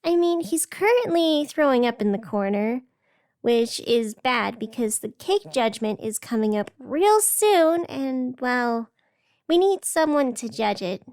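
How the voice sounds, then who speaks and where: A woman's voice: on the high side, lively intonation, unhurried, a little mellow, dry recording, clean studio sound. A woman playing a frazzled, chatty radio host reporting live in a fiction podcast, with an informal, exasperated manner. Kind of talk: fiction podcast broadcast narration.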